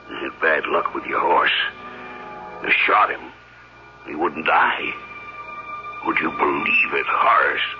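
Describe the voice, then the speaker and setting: A tormented murderer, pitch swinging wildly. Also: male voice, exaggerated voice. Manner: tormented, rambling, halting confession. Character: guilt-crazed murderer confessing to his victim's corpse. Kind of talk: old-time radio drama acting. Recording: dull radio recording, music underneath.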